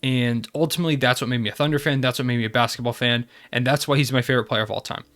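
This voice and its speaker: Young male sports vlogger, quick and eager, nasal from a cold. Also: medium pitch, steady tone, fairly bright tone. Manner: enthusiastic, fan-like. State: congested from a cold. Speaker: basketball channel host. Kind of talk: casual video recap.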